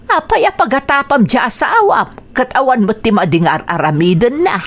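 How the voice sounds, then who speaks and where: A woman with an Ilocano accent playing a caring, protective older grandmother in a radio drama, with drawn-out motherly interjections. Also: on the high side, big pitch swings. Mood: gently chiding. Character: older grandmother, caring and defensive of her daughter.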